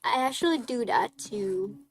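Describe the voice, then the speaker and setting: Young female streamer, higher voice, unhurried easy chat. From Hungary. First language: Hungarian. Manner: relaxed, chatty, laughs often. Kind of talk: casual chat reading viewer questions.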